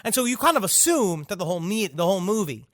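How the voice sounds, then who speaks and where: A man with a high voice recaps a film in blunt, crude, casual chat. He is recorded close and dry, with no room sound. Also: big pitch swings, quick pace, fairly bright tone, dry recording. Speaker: podcast co-host.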